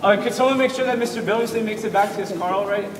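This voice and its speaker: A man playing an upbeat emcee and eager young boyfriend on stage, announcing quickly to an audience. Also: high-pitched, lightly acted. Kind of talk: live stage comedy play. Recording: roomy hall from far off, audience noise.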